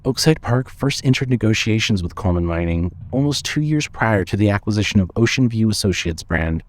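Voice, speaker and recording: A man narrating a scripted story with measured, suspenseful storytelling. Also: on the low side, animated.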